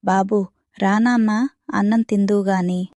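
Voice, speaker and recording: A woman's voice: mid-range voice, steady tone, measured pace, mellow, no room sound, studio quality, close to an everyday voice. A woman playing a devoted, easily hurt young village wife in a children's moral cartoon, speaking earnestly. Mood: coaxing.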